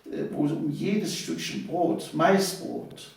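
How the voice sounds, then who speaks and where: A man in his seventies speaks with a creaky, gravelly edge. He tells his memories conversationally and emphatically, often repeating phrases. The recording is very echoey, with him well away from the microphone. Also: on the high side, slow. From Cologne, Germany. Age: 70s. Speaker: interview guest, storyteller of local history. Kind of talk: conversational eyewitness storytelling. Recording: echoey room, distant microphone.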